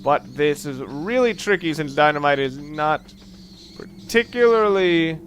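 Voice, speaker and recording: A man hosting a let's play, commentating casually on a video game in a rambling, halting way with dry joking asides. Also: on the high side, animated, a little hiss or hum. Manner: rambling, halting self-corrections. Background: video game music.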